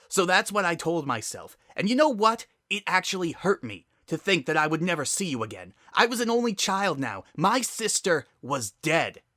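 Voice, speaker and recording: Guy doing a angry girl pegasus pony, bitter and accusing, pushed up high and swoopy, storybook drama. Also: very animated, moderate pace, hardly any pauses, dry recording, studio quality. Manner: accusing, forceful, loud outbursts. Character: bitter, furious pegasus pony older sister, female.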